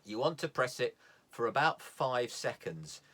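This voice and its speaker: A man's voice: mid-range voice, sing-song, unhurried, a bit bright. A man giving an informal, hands-on instructional talk as a sailing instructor, plain-spoken and step by step, repeating key points for emphasis.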